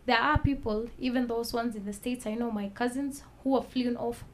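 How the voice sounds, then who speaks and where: Woman, guest on a talk show, soft measured testimony, faint background hiss. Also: a little high, fairly even intonation. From Kenya. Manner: soft-spoken, measured, pauses with fillers.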